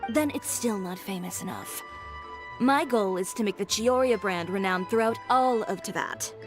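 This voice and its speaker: An actress voicing a poised, ambitious fashion designer and boutique owner who speaks bluntly and dryly. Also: female voice, medium pitch, moderate pace, over music, lightly acted. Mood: composed. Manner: cool, matter-of-fact, dry. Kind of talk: video game voice acting.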